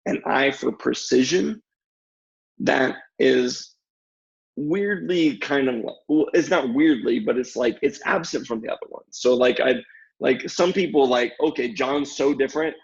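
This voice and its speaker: A man talks as a guest in a relaxed intellectual discussion. His voice has a gravelly fry to it. He is agreeable and emphatic, and the audio is somewhat dull and lo-fi. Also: medium pitch, a little slow. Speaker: podcast guest. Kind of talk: casual theological discussion.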